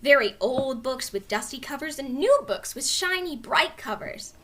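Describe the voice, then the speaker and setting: A woman reading a children's storybook aloud as narrator, with expressive delivery. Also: high-pitched, normal speed. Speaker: story reader.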